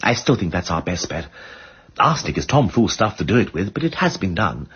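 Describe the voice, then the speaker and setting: Witty gentleman detective, upper-class English, very husky, voluble, slightly muffled radio drama sound. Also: low voice, sing-song, a little fast, full character voice. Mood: musing, breezy. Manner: flippant period slang, voluble and emphatic.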